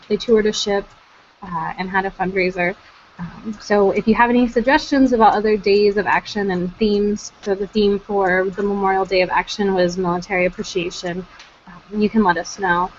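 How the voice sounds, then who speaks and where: Woman, meeting host on a video call, mid-pitched, hesitant fillers, dull lo-fi sound. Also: a little hiss or hum. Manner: hesitant, many ums and uhs. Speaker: network coordinator, meeting host. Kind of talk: casual online meeting update.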